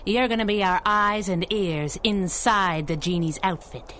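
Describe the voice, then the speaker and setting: A woman's voice: mid-range voice, a little slow, fairly bright tone, slight background noise, close to an everyday voice. A woman playing a cocky, taunting superheroine, wisecracking in a 1930s-style radio drama. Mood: teasing, menacing. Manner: teasing, wisecracking, 1930s slang.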